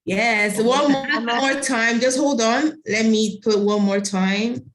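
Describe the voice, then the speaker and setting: A woman's voice: fairly high pitch, slow pace. A woman on a video call, chatty and bubbly, directing everyone through group photos. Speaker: webinar co-organizer taking group photos. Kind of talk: casual video-call chat. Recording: roomy video-call audio.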